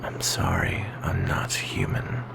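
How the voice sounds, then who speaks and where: A man playing a melancholy, insecure vampire boyfriend in an ASMR roleplay, speaking softly and hesitantly in a gravelly whisper. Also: natural voice. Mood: wistful, apologetic. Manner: soft, hesitant, intimate, slow with pauses.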